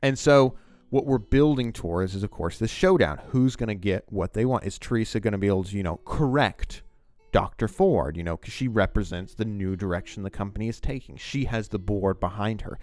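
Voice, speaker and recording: A man's voice: fairly low pitch, brisk, a little mellow, dry recording. A man giving an informal, unscripted explanation as a video essayist, rambling conversationally and speaking straight to the viewer. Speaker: video essayist on fiction writing.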